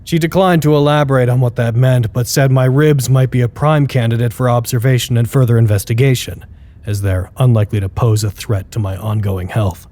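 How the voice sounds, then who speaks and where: A man's voice: medium pitch, fairly even intonation, fairly quick, warm tone, close to an everyday voice. A man playing a wry, suspicious character who narrates a log in formal wording for an audio drama, dry and sardonic. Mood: dry, wary.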